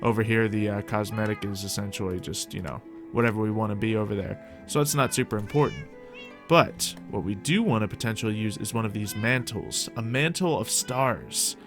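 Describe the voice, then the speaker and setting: A man's voice: fairly low pitch, brisk, over music. A man giving casual, improvised roleplay game commentary, chatting and thinking aloud as host of a gaming video.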